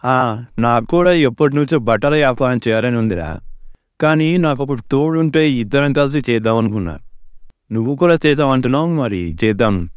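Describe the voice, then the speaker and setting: A man's voice: medium pitch, expressive, brisk, no echo, natural voice. A man playing a farmer's supportive, agreeable male friend in a cartoon story.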